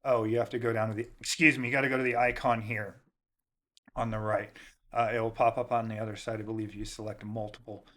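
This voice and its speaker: A man hosting a software tutorial, giving informal step-by-step instructions in a casual way. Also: lowish voice, level tone, quick pace. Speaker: tutorial host.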